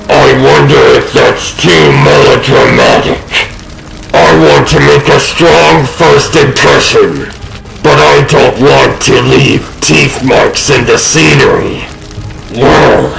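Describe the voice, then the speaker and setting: A man's voice: medium pitch, vocal fry, reverberant, full character voice, poor audio. A man playing a grandiose, self-doubting male supervillain in a comic dub, with theatrical, ranting delivery.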